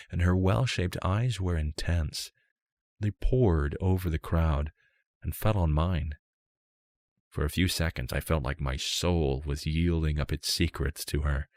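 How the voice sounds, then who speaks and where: A man reading a first-person fantasy story as an audiobook narrator, in a measured, unhurried way. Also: extremely low pitch, darkish tone, no echo, studio quality.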